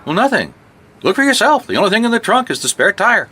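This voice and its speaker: A man's voice: high voice, big pitch swings, quick pace, sharp tone, slightly rough, exaggerated voice. An actor playing a beleaguered, earnest private detective in an old-time radio mystery, a man who stumbles over his words. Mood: indignant, flustered. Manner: hesitant, repeats himself when flustered. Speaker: private investigator character and narrator.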